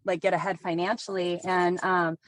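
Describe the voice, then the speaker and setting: A woman's voice: fairly low pitch, level tone, moderate pace, dry recording. A woman sharing earnestly with many fillers from a car on a group video call. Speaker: group participant, single mother.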